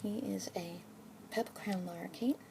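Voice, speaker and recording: A young woman in a whispered ASMR roleplay as a pet adoption consultant, speaking slowly and gently in a soft unvoiced whisper. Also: medium pitch, gravelly, background noise.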